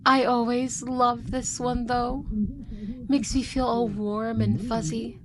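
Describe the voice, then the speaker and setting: A woman's voice: a little high, little pitch movement, slow pace, crowd noise. A woman playing a cheerful, chatty elf who loves Christmas and passes as a human roommate, in an ASMR roleplay. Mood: cozy, cheerful. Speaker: roleplay voice actress.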